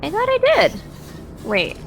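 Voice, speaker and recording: A woman's voice: sits high, huge pitch swings, unhurried, creaky. Woman chatting casually over game music. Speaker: voice actor being interviewed. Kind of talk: casual chat while playing a game. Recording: game music and sounds behind. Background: video game audio and music.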